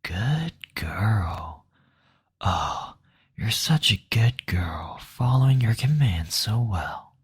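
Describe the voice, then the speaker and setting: A man's voice: on the low side, expressive, very slow, exaggerated voice. Man acting a whispery, teasing demon lord. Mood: teasing, flirtatious. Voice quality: breathy, whispery. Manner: coaxing, flirtatious, mocking. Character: playful, teasing male demon lord, indulgent flirt. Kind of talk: intimate scripted roleplay audio.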